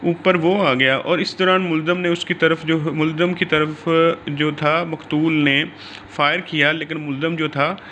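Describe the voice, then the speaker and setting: Bright, forceful Pakistani man lecturing. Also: on the high side, slight background noise. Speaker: law lecturer. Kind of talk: explanatory legal lecture in Urdu mixed with English terms.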